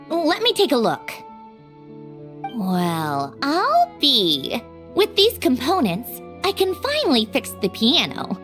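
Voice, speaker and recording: A proud, haughty young woman talks in a fairly high voice with sweeping, expressive intonation. She sounds lofty and sure of herself in anime game style, over faint music. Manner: self-assured, lofty. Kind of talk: English anime game dub acting.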